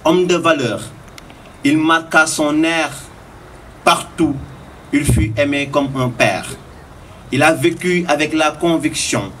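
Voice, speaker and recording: A man reciting a poem of tribute in a solemn, oratorical manner with measured phrasing. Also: on the high side, fairly bright tone. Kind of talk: declaimed poetry recital. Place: live recital at a public tribute event. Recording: distant microphone with faint noise.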